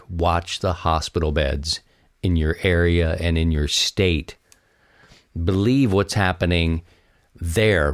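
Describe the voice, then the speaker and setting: A man's voice: sits low, no room sound, clean studio sound. A man hosting a podcast solo, talking in a casual, rambling, conversational way and addressing his listeners directly. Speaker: podcast host.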